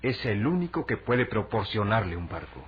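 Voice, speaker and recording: A dignified, cautious ambassador speaks. He is formal and measured, full of concern. The old radio serial sound is dull, with a faint hum. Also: on the low side, sing-song. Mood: advisory. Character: Sarim, cautious, worried, dignified male Indian ambassador. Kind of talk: Latin American radio serial acting.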